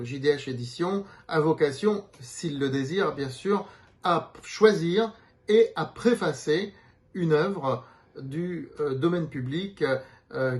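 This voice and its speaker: A man giving an unscripted promotional presentation as a publisher's representative, explaining things in a hesitant way. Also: fairly high pitch.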